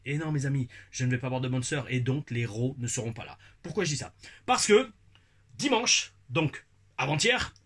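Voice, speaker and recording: A man's voice: mid-range voice, expressive, moderate pace, a bit bright, breathy edge. A man in his forties hosting a casual wrestling review video, exuberant and rambling, repeating his catchphrases.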